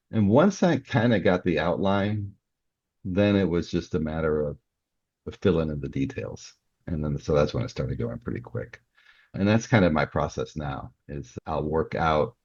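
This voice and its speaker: Very deep-voiced older man, dull audio. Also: a little mellow, breathy edge. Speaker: podcast guest, novelist. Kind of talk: conversational interview, explaining a personal process. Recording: dull, band-limited audio.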